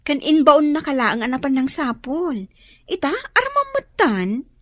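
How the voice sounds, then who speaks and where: A woman's voice: sits high, very animated, measured pace, fairly bright tone, dry recording, exaggerated voice. A woman with a Filipino accent playing an inquisitive young woman in an Ilocano radio drama. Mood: teasing, curious.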